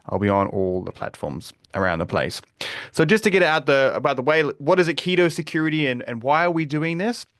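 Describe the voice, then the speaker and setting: A man with a New Zealand accent hosts a live online webinar, talking in a relaxed, conversational way and often pausing on fillers and restarting his sentences. His voice sits at an ordinary middle pitch. The recording is dry and close, with no room sound. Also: no echo. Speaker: webinar presenter, security advocate.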